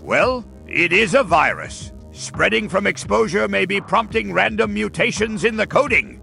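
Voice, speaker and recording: Pompous gloating cartoon villain: forceful, swooping pitch, theatrical mad scientist. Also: male voice, expressive, moderate pace, vocal fry, heavily acted. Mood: irritated. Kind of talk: dramatic comic dub. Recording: music behind the voice.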